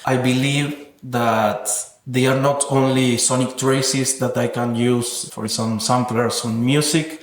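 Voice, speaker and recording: A man's voice: medium pitch, fairly even intonation, slow, hardly any pauses, slight background noise. Reflective man in an echoey room. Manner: thoughtful, academic wording. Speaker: interviewed sound artist. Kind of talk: reflective interview talk about own work. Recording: echoey, roomy sound.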